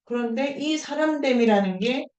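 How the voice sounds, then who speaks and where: A woman's voice: sits high, moderate pace, darkish tone. A woman leading a seminar discussion, thinking aloud as she explains and puts questions directly to participants. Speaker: discussion leader.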